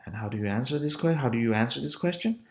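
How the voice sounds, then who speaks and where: A young man in his twenties teaching a casual language lesson, repeating phrases slowly with relaxed, chatty asides. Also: mid-range voice. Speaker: language tutor.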